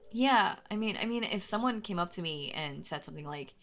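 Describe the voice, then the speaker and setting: A woman's voice: on the low side, animated, a little fast, fairly bright tone, faint music behind. A woman playing a very shy, anxious young stranger in an intimate audio roleplay, rambling and stammering as she talks to the listener. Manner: hesitant, stammering, nervously giggly, self-doubting.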